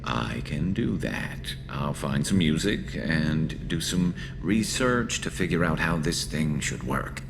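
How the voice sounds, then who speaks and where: A man voicing a loyal alien soldier in a video game, gravelly, halting and self-deprecating with dry, nervous humour. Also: rumbling low, animated, background noise, heavily acted. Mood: wry, flustered. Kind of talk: video game dialogue acting.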